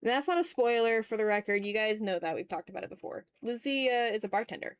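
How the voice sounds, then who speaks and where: A woman's voice: a little high, expressive, quick pace, smooth, dry recording. A woman streamer talking casually and informally, half to herself and half to viewers, as she works on art. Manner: informal, self-critical muttering to herself and viewers. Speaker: streamer hosting an art livestream. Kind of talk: casual chat while drawing.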